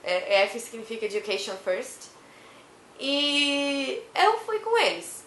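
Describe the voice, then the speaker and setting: A young woman from Brazil who is hoarse from a cough, chatting to camera in a rambling way and laughing often. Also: high-pitched, very animated, slow, pushed and bright, reverberant, a little hiss or hum. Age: young adult. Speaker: vlogger. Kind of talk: casual chat to camera.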